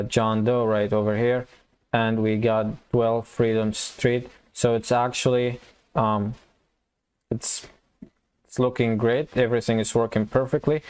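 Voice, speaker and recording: Low-pitched, monotone man teaching coding. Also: clean tone. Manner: informal, halting, step-by-step explaining. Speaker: programming tutorial instructor. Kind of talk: casual screen-recorded coding walkthrough, thinking aloud.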